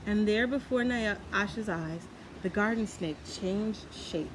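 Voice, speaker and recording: A woman's voice: mid-range voice, takes it slowly. A woman narrating a children's story from a book as a storyteller. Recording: clearly noisy background.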